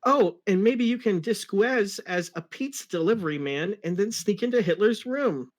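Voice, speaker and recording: A man's voice: sits high, animated, moderate pace, voice pushed up. A man voicing an eager, exclamatory young male cartoon fox sidekick.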